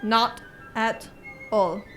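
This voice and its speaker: Radio drama: woman as male French inspector. High, deliberate, suave, watchful, faint music underneath. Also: steady tone. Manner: smooth, deliberate, ceremonious.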